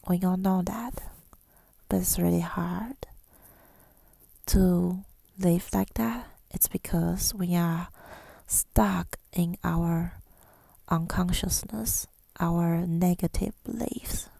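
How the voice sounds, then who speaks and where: A woman speaking in a soft, breathy whisper in an ASMR style, reflective and hesitant, pausing often, close to the microphone. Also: on the low side, steady tone, dry recording. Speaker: ASMR creator. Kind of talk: ASMR, rambling personal reflection.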